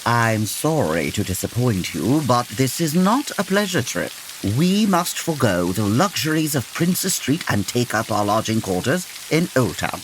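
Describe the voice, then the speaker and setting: Guy playing a victorian detective, proper and precise, very animated intonation, audio comedy, noisy rainy backdrop. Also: mid-range voice, moderate pace, natural voice. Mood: genteel, brisk. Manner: formal, precise, gently pompous. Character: composed, courteous Victorian gentleman detective. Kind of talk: comedy audio drama acting. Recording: noisy, rain-like hiss behind.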